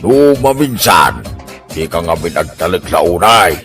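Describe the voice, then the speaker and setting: An actor plays a scolding man in a comic drama skit. He speaks with a Filipino accent in a fairly low voice. Faint music sits behind him. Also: very animated, unhurried, fairly bright tone, exaggerated voice.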